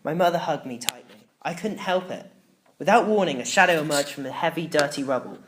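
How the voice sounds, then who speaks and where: A woman's voice: sits low, expressive, a little fast, slight background noise. A woman narrating a children's story in the first person, reading in a dramatic, emotive way. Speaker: audiobook narrator.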